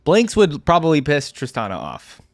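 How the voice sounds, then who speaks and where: A man's voice: a little high, huge pitch swings, moderate pace, no room sound, studio quality, soft background music. A man hosting a casual reaction to game voice lines, with an amused, easygoing manner. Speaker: host of a game lore reaction channel.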